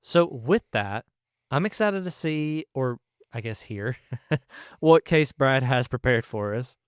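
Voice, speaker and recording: A man co-hosts a podcast in relaxed, everyday conversation. His voice sits at a medium male pitch and sounds clear and smooth. It is recorded dry, with very little room sound. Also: slow pace, no room sound. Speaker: podcast co-host. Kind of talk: casual chat.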